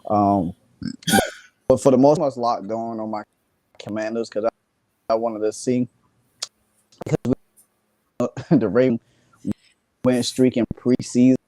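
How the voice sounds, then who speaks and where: A sleepy man joining a sports talk show as a guest, speaking haltingly with many fillers and restarts. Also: medium pitch, steady tone, mellow, no echo.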